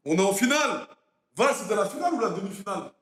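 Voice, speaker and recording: A man's voice: high-pitched, big pitch swings, fast, fairly bright tone, echoey room. A man hosting a livestream, chatting crudely and teasingly while talking straight to his viewers. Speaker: streamer host. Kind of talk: casual livestream banter.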